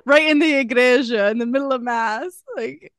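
A woman's voice: high voice, normal speed, fairly bright tone, clean tone, no room sound, clean studio sound. A young woman co-hosting a podcast, chatting casually with many fillers and restarts. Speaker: podcast co-host. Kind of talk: casual chat.

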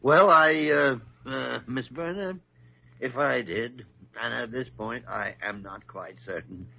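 An actor playing a gruff, meddling older male doctor in an old radio drama, with a gravelly voice and a blustering, grumbling delivery. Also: medium pitch, unhurried, a little hiss or hum. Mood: flustered. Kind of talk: 1940s radio drama acting. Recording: muffled vintage radio recording.

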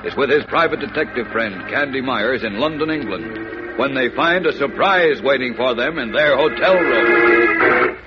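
A man's voice: medium pitch, lively intonation, measured pace. A male narrator of a vintage radio adventure serial, speaking with dramatic weight. Music plays prominently behind him. The recording is dull and muffled. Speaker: announcer and narrator.

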